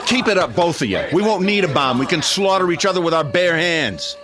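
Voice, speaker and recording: A man's voice: sits high, animated, fairly quick, a loud crowd. An actor playing an exasperated, reasonable suburban man with an earnest, scolding manner in a radio drama. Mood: bitter scolding. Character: exasperated, reasonable suburban man pleading against mob panic.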